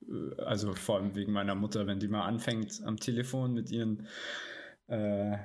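A man's voice: lowish voice, level tone, normal speed, a little mellow, a little hiss or hum. A man hosting a casual interview, asking questions in a hesitant way full of fillers. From Baden-Württemberg.

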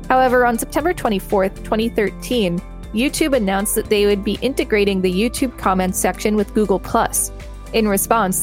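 A woman co-hosting a podcast reads an article aloud at an average pitch. Her delivery is steady and matter-of-fact. A faint guitar sits behind her voice. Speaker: podcast co-host reading an article. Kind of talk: casual read-aloud.

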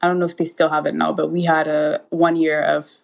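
A woman's voice: deep voice, flat delivery, brisk, creaky. A woman from Ethiopia, in her thirties, a guest recounting her path in a casual interview, talking with many fillers and restarts. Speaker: guest, anesthesiologist.

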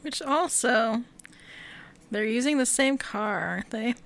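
A woman's voice: on the high side, a little slow, gravelly, fairly bright tone, no echo. A woman co-hosting a podcast, making casual remarks in a film discussion. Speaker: podcast co-host.

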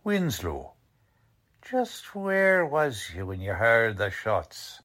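A man playing a shrewd, suspicious investigator in a 1940s-style radio drama, questioning deliberately in colloquial, ungrammatical speech. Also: medium pitch, huge pitch swings, a bit bright, full character voice. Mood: suspicious, probing. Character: shrewd streetwise male investigator, quietly suspicious.

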